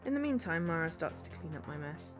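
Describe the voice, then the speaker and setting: A woman's voice: sits low, sing-song, fairly quick, over music. A woman streamer reading a visual novel aloud, narrating and acting out the dialogue. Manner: acts out dialogue between narration. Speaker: game streamer.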